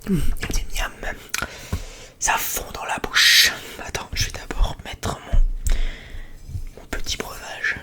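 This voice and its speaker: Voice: slow, long pauses. An ASMR host chatting casually in a whisper, rambling along in informal, slangy speech close to the microphone.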